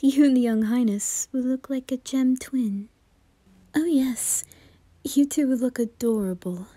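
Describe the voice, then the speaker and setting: A woman voicing a gentle, doting, deferential maidservant in an ASMR roleplay, whispering in a courteous, soothing manner. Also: a little high, husky, dry recording, exaggerated voice.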